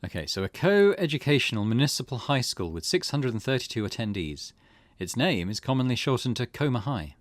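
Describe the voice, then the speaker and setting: A man's voice: medium pitch, dry recording. A man doing let's play narration, reading game text aloud and muttering his own reactions between passages. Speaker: gaming video host.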